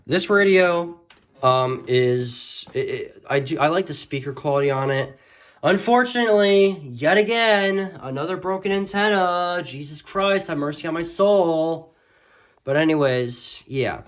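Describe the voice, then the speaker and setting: A man's voice: fairly high pitch, expressive, measured pace. A man giving a casual, unscripted show-and-tell as a video host, rambling hesitantly and often correcting himself. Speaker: host of a radio collection video. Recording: noisy home recording with clicks.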